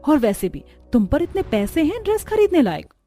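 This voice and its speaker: A woman's voice: sits high, big pitch swings, fairly quick, dark tone, soft background music, voice pushed up. Haughty rich young daughter-in-law, voiced by a woman. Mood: scornful. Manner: condescending, showing off.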